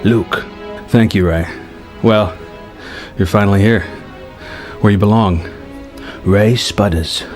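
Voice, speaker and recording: A man's voice: on the low side, takes it slowly, pauses often, darkish tone, faint music behind. A man narrating a scripted story in a dramatic reading style.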